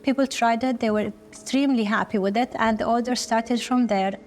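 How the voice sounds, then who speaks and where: A middle-aged woman from Kuwait, speaking slowly and earnestly with short pauses in a documentary interview. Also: fairly high pitch, steady tone, darkish tone, faint music behind. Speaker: business founder.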